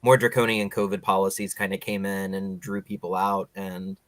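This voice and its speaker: A man with a fairly low voice hosts a podcast interview. He speaks conversationally and casually, as if chatting with his guests. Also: little pitch movement, moderate pace, a bit bright, no room sound.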